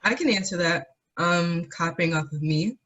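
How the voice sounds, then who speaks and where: A man playing a high school student lookout in an amateur script table read. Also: normal speed, bright, forceful tone, highs cut off. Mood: keen. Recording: clearly noisy mic with clicks.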